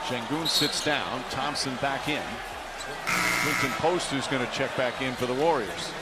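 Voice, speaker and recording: A man's voice: mid-range voice, a little slow. A man calling live basketball play-by-play in short, clipped descriptive phrases. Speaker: play-by-play sports commentator. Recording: loud noisy arena ambience behind.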